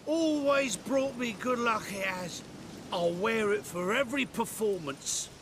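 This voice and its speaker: An actor playing a cowardly, tipsy fire-eater jester who stammers nervously. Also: male voice, sits high, unhurried. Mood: shaken. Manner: jittery, stammering, fearful. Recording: game audio with steady hiss.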